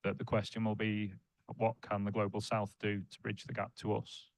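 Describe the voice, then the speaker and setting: A man in the audience, a civil servant, asking the panel a measured, formal question. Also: lowish voice, completely flat delivery, no room sound.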